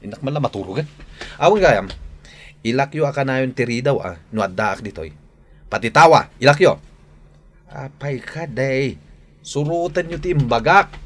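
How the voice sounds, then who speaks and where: A man's voice: mid-range voice, expressive, moderate pace, pauses often, natural voice. A man with an Ilocano accent playing a rude, sullen grown son in a radio drama, curt and snapping. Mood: grumbling. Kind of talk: Filipino radio drama acting.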